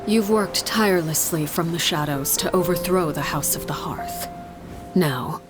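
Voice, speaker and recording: A woman playing a stern, composed leader in a video game, speaking in a formal, measured, solemn way. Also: lowish voice, music in the background, close to an everyday voice. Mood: solemn authority.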